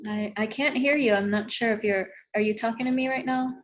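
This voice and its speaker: A woman's voice: fairly high pitch, a little fast, muffled recording, slight background noise. Friendly female webinar host. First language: Vietnamese. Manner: friendly, encouraging, relaxed. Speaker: therapy trainer, webinar host. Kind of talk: webinar wrap-up chat. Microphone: video call.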